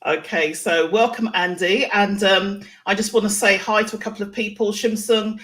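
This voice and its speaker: A woman's voice: medium pitch, steady tone, moderate pace, fairly bright tone, rough recording. A woman hosting a live online interview show, speaking in a chatty, effusive and encouraging way. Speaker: show host.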